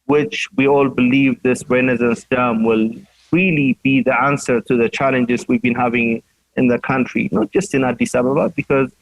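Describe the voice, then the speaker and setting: A man's voice: mid-range voice, fairly even intonation, normal speed, dry recording, rough recording. A man from Ethiopia speaking as a journalist on a panel, earnest and hesitant with many fillers. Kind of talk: panel discussion remarks.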